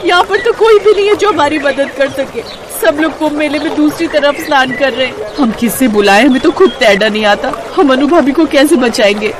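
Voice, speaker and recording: A woman's voice: sits high, a little fast, hardly any pauses, crowd noise, background noise, pitched above the performer's own voice, natural voice. A woman voicing a rich woman's snobbish friend, clannish and eager to go along, in a moral cartoon story. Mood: panicked.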